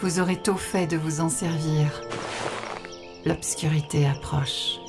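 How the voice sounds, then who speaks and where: A woman playing a calm, cryptic, dignified alien priestess in a sci-fi audio drama, solemn and measured. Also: low voice. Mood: grave, insistent. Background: music.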